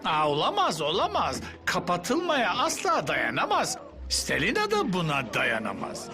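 A man's voice: high-pitched, huge pitch swings, unhurried, over music, full character voice. A man voicing a tender, worried animal lover in a dubbed cartoon, emotional and coaxing. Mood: dismayed.

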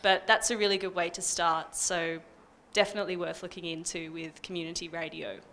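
Bright, low-voiced young Australian woman. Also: little pitch movement, brisk, slight background noise. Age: young adult. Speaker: panel speaker from a community radio music service. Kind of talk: informal explanatory panel talk. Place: indoor music venue, small stage panel. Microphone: handheld microphone.

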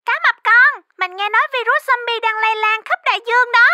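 High, bright little fox. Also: female voice, steady tone, a little fast, no echo, heavily acted. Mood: concerned, urgent. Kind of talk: children's cartoon acting.

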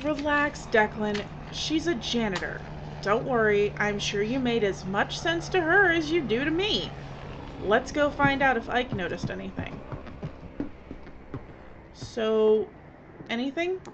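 An actress playing a bossy, impatient, sharp-tongued filmmaker in an audio drama. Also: female voice, a little high, expressive, measured pace. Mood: sarcastic. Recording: dull, noisy audio drama recording.

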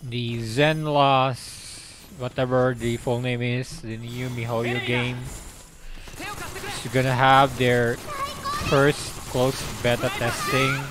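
A young man giving casual, halting live commentary as he streams a game, speaking into a close microphone in a small room. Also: medium pitch, animated. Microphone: close desk microphone.

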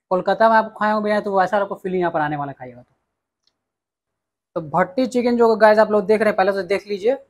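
A young man from Bihar hosting a casual food vlog, talking straight to viewers as he tastes dishes and recommends them. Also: high voice. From Bihar, India. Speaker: food vlogger. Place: small indoor restaurant dining room.

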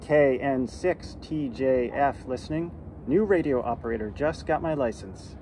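A middle-aged American man making amateur radio calls and talking casually to camera outdoors, spelling out call signs deliberately. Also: medium pitch, dark tone. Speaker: amateur radio beginner, vlogger. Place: outdoors in a yard and porch. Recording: outdoor background noise.

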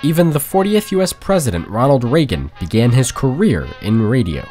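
A man's voice: mid-range voice, sing-song, a little fast, a little mellow, faint music behind. A man reading scripted narration for a documentary.

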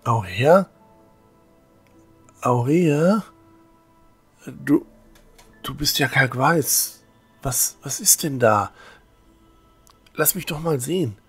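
Man acting an earnest, agitated young novice, slow-paced. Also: medium pitch, animated, breathy edge, faint music behind, full character voice. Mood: worried, urgent. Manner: agitated, stammering repetitions. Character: earnest, stocky young male religious novice. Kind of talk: fan-made fantasy audio drama acting.

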